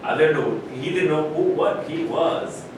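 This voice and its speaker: A man in a staged play reading, playing a candid, opinionated basketball legend with deliberate, emphatic pauses. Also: natural voice. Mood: explaining. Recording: very echoey distant room, rumbling noise.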